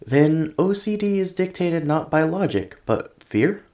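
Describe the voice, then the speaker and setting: A man's voice: fairly high pitch, animated, a little slow, dark tone, roomy sound. A man playing a polite, earnest android assistant in an ASMR roleplay, speaking formally and precisely through a robotic voice effect. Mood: thoughtful, puzzled. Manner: formal, precise, literal-minded phrasing. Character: polite, earnest male android tenant assistant, gentle and curious. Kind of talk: ASMR roleplay, scripted one-sided conversation.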